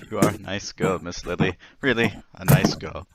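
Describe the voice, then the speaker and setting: A man's voice: sits low, fairly even intonation, unhurried. A man playing a meek, lonely, trembling character who hesitates and sighs, in an old-time radio drama. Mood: weary. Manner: hesitant, stammering, sighing. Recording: loud noise and clicks.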